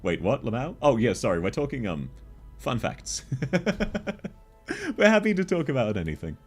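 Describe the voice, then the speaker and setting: A man's voice: medium pitch, wildly swooping pitch, unhurried, slightly rough, soft background music. A man with an Australian accent, chatting casually with viewers on a game livestream, laughing often as he answers chat. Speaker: game streamer.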